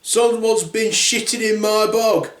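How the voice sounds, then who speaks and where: Casting: indignant, sweary storybook papa bear, blunt and exclamatory, high-pitched man acts it out, roomy recording. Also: fairly even intonation, unhurried, fairly bright tone. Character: gruff, indignant, sweary Daddy Bear, adult male.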